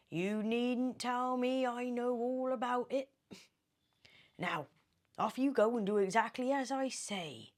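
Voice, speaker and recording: A British woman voicing a mysterious, excitable old man in a storybook, speaking in a theatrical, commanding, drawn-out way. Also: mid-range voice, voice pushed up, natural voice. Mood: insistent, conspiratorial. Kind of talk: animated storybook character reading.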